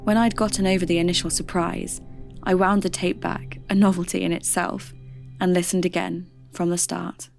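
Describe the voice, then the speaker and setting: A curious podcast host in an audio drama. Her voice sits a little low, with faint music behind it. She tells her story in measured, conversational scripted narration. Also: little pitch movement, gravelly. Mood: measured, intrigued. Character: spooky-curious female radio DJ turned podcast host.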